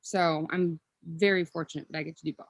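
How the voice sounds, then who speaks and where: A woman's voice: sits low, steady tone, fairly quick, no echo. Chatty woman in her thirties, dry sound. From Minnesota. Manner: chatty and rambling, with frequent ums and self-corrections. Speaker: podcast guest. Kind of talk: casual interview chat.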